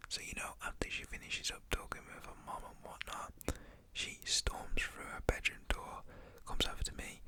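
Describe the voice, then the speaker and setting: A man whispering a casual, slangy storytime.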